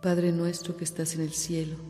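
Mellow, devout Mexican mother played by an actress. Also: fairly low pitch, monotone, normal speed, soft background music, close to an everyday voice. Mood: prayerful. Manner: tender, reverent. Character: devout, loving mother, charitable to the sick. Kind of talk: radio drama acting.

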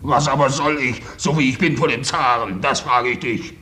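A man's voice: medium pitch, moderate pace, pushed and bright, lightly acted. An actor playing a vain, stingy Russian nobleman, a count's brother, in a theatrical, boastful, mocking manner in a 1950s German radio play. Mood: pressing, wheedling.

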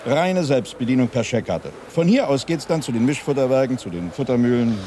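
Actor as a matter-of-fact industrial guide, faint outdoor noise. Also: male voice, medium pitch, expressive, a little fast, close to an everyday voice. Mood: factual. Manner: factual, unruffled, explanatory. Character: matter-of-fact, evasive male silo facility guide. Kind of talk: radio play acting as a guided tour.

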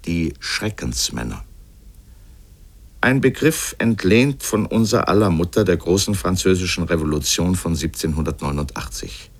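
Low-voiced man narrating a literary radio piece. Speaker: narrator reading a radio essay.

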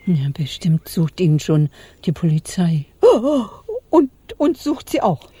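An easily horrified elderly lady in a radio crime comedy. She is fretful and indignant, in a husky, soft-toned voice with very animated melody. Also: lowish voice, unhurried, dry recording, full character voice.

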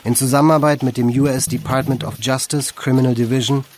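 A man's voice: medium pitch, steady tone, fast talker, slight background noise. A man reading scripted narration for a radio documentary feature.

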